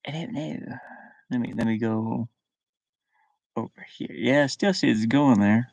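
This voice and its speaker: A man's voice: mid-range voice, a little slow, vocal fry, darkish tone, dry recording. A middle-aged man chatting casually on a livestream, rambling and muttering to himself in short, broken phrases. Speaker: livestream host. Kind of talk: casual live chat with viewers. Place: inside a van. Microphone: webcam.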